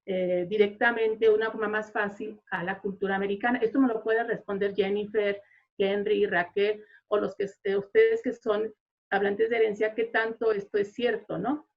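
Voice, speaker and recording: A woman teacher shares her experience in a conversational, explanatory way at an academic discussion. Her voice sits at an average pitch for a woman. The audio is somewhat dull and lo-fi. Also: little pitch movement, moderate pace, creaky. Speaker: Spanish teacher. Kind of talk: conversational remarks in an academic panel.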